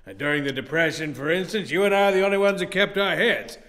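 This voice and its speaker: A man's voice: on the high side, fast, a bit bright, natural voice. An actor playing a crafty, domineering old businessman in a radio play, condescending and blustering. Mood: wheedling, persuasive.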